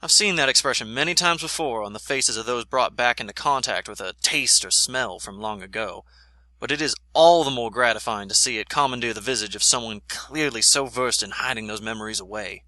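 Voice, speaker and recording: Frontier-era American government scout for audio drama, male actor: very bright, forceful tone, kindly but shrewd, folksy phrasing, close dry recording. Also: mid-range voice, brisk, no room sound. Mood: reflective. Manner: plain-spoken, folksy. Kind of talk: scripted audio drama, dialogue and first-person narration.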